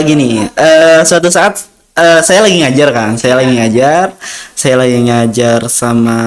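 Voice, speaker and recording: A young man with an Indonesian accent, a student guest telling his experiences in a casual interview, rambling with many fillers and acting out dialogue. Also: medium pitch. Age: young adult.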